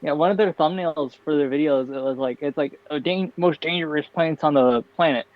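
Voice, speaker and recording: A young man co-hosting a casual chat show, talking in a tired, flat monotone with bursts of laughter. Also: fairly high pitch, brisk, no echo. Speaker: podcast co-host. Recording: dull, dry lo-fi home mic.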